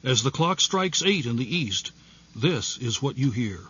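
A man giving a scripted narration in a deliberate, dramatic way, slightly muffled. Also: medium pitch, expressive, pushed and bright, breathy edge.

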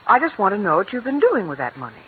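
A woman's voice: mid-range voice, big pitch swings, rapid-fire, breathy edge. An actress playing a worried, strained stepmother with an earnest, pleading manner, in 1940s radio acting. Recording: tinny old radio broadcast with faint hiss.

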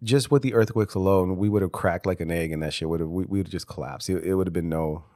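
A man's voice: deep voice, quick pace, a little mellow, dry recording, studio quality. A man hosting a solo podcast while congested, talking casually with lots of restarts, repeated words and swearing. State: congested, stuffy nose. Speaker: podcast host.

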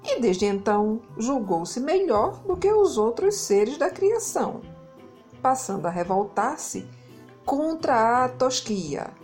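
A Brazilian woman narrating a children's story in an expressive, dramatic way, leaning on key words. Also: on the high side, slow pace, faint music behind. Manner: dramatic, emphasizes and repeats words. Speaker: children's storyteller, podcast host.

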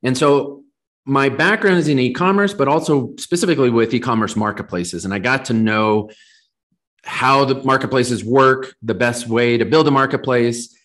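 A man's voice: medium pitch, moderate pace, a bit bright. An American man in his forties from Southern California, answering conversationally as a company founder being interviewed.

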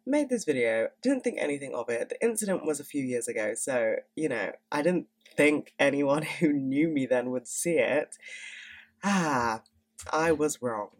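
A woman's voice: rumbling low, animated, unhurried. A young woman in her twenties hosting a podcast in casual, candid chat, swearing freely and sounding stuffy from a cold. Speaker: podcast host.

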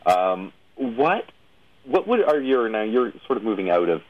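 A man hosting a radio show, asking questions in a casual, halting way and often rephrasing as he goes. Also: lowish voice, very animated, lo-fi sound. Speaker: radio show host interviewing a guest. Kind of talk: casual interview.

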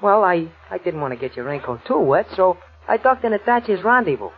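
An actor playing a nervous, dim but loyal crook's sidekick, stammering and muddled, with slangy grammar and a husky voice, in a 1940s radio drama. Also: male voice, sits high, expressive, fairly quick, exaggerated voice. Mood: flustered, rambling. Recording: muffled tinny old radio recording.

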